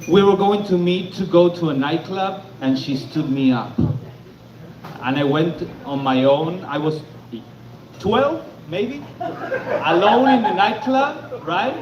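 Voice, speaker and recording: A man from Argentina doing a stand-up comedy set on a club stage, stammering into deadpan punchlines. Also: high-pitched, slow. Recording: echoey club, lo-fi, noisy.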